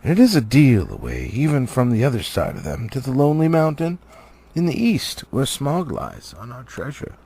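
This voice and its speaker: A man performs a gruff dwarf traveler in a storybook. He speaks forcefully and emphatically, as if setting someone straight. His gravelly voice leaps around in pitch. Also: sing-song, moderate pace, exaggerated voice. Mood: firm correcting.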